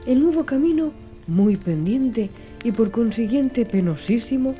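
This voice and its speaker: A woman reading a literary audiobook in a measured, clearly phrased storytelling manner. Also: a little high, animated, dark tone. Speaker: audiobook narrator. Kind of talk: scripted literary audiobook narration. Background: background music.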